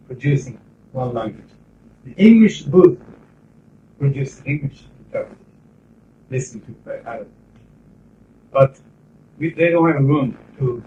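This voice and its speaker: Man, Japanese accent, middle-aged, measured classroom lecture, dull old tape, faint hiss. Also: on the high side, a little mellow. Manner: measured, explanatory, pauses between phrases. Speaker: lecturer on interpreting. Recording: roomy, distant, dull 1990s classroom tape.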